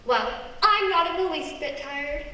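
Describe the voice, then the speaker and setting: A woman's voice: high-pitched, expressive, measured pace, pushed and bright, exaggerated voice. A woman acting a feisty, stubborn young woman in a radio play, with spirited, argumentative delivery. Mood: testy. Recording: echoey hall, distant mic, noisy.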